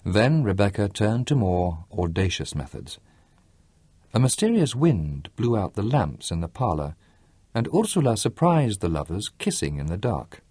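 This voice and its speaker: A man's voice: fairly low pitch, big pitch swings, normal speed, dark tone, breathy edge, no echo. A man narrating a literary story from a script as an audiobook reader.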